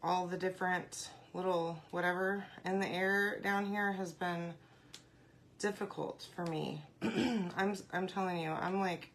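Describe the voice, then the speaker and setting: A woman hosting a casual craft live stream, congested and often clearing her throat, chatting and greeting viewers by name. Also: lowish voice, fairly even intonation, unhurried, a little hiss or hum, rough recording.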